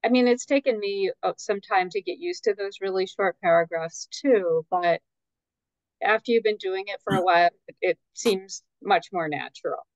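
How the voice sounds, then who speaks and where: A woman answering as an expert guest in a conversational, measured and tentative way, qualifying her points. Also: medium pitch, dry recording, dull audio.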